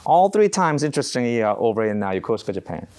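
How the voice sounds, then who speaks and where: A middle-aged man from Korea, a Navy chaplain answering interview questions in an unhurried way, often pausing on uh. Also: mid-range voice, sing-song, darkish tone. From South Korea. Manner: unhurried, halting with frequent uh pauses.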